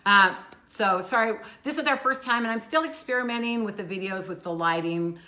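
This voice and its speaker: An older woman co-hosting a casual live stream with a loud voice, chatting in a rambling, self-interrupting way, recorded on a phone without a clip-on mic. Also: medium pitch, a little fast. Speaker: co-host. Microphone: phone camera, no clip-on mic.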